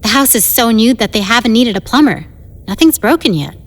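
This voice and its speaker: A woman's voice: a little high, lively intonation, fairly quick. Moody teenage gang girl, reverberant. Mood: wistful. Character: moody, tearful, insecure teenage girl gang boss, 1950s. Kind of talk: audio drama acting.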